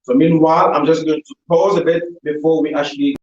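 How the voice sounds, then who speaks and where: A man hosts a live online interview in a slightly high-set voice. His delivery is halting, and he often repeats himself. He sounds distant from the microphone, in an echoey, poor-quality recording. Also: flat delivery, a little mellow, lo-fi sound. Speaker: talk show host. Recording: roomy echo, distant mic, poor sound.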